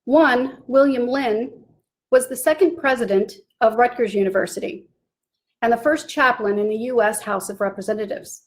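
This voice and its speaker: Lady reading a history talk off a script, slightly high voice, clear and even. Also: normal speed. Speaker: presenter.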